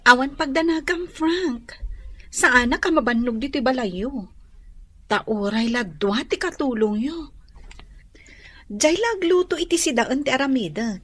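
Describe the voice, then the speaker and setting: An obliging young Filipino wife, eager to please. Her voice is high and her delivery slow and measured, in radio drama style. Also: animated, a bit bright, breathy edge, heavily acted. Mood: reassuring.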